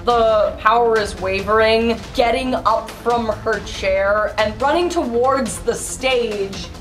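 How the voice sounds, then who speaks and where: A woman's voice: on the high side, slow pace, faint music behind. A woman casually improvising as a player in a tabletop roleplaying game.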